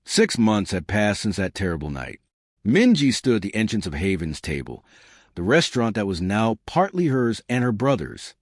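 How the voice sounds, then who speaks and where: A male narrator reads a scripted tale in a low voice. His delivery moves up and down with lively intonation. The sound is dry and close, with no room around it. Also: normal speed, no room sound. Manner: lively storytelling, shifting pitch for quoted characters.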